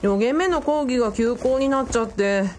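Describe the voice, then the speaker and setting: A woman's voice: fairly high pitch, moderate pace, darkish tone, slight background noise, natural voice. Woman acting as an earnest, flustered young male student. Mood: puzzled. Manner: casual, deferential youthful speech, puzzled. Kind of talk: radio drama acting.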